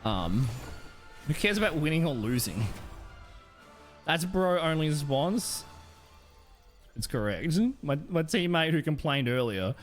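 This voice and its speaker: A man's voice: on the high side, lively intonation, unhurried, pauses often, faint music behind. A man streaming a game live, chatting casually with viewers and giving rambling, blunt commentary full of slang and some swearing.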